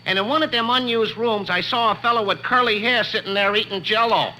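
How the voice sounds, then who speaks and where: An actor playing a cheeky, unlettered working-class plumber with colloquial speech in old-time radio comedy style. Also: male voice, high-pitched, brisk, close to an everyday voice. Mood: matter-of-fact. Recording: humming vintage radio recording, faint noise.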